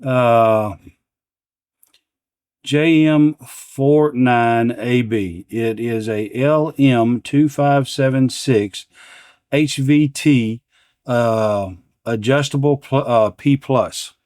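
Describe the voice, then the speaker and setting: A man's voice: medium pitch, extremely slow, darkish tone, clear, resonant tone, no room sound, studio quality. A man hosting a repair demonstration, talking casually and unscripted, partly to himself as he works at a bench. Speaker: repair channel host. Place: indoors at an electronics workbench.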